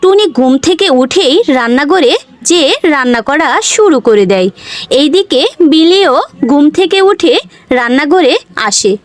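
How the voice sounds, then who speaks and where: A woman's voice: high voice, animated, moderate pace, no room sound. A woman narrating a scripted fairy-tale cartoon as a storyteller.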